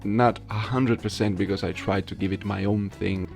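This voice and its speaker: A man's voice: on the low side, steady tone, normal speed, gravelly, a little mellow, faint music behind. A man giving casual, conversational commentary as a video creator, talking informally to his viewers. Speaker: video creator and amateur voice actor. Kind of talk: casual commentary on a game voice-acting challenge.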